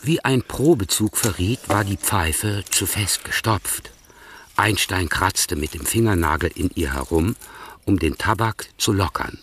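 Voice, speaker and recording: A man narrating a radio drama in a measured, even storytelling manner. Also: low-pitched, slight background noise.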